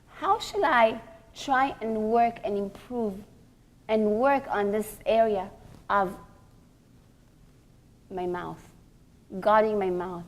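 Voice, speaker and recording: High-pitched woman giving a deliberate religious lecture. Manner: deliberate, emphatic, pausing between short phrases. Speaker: religious teacher giving a class for women. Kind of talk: religious lecture with rhetorical questions.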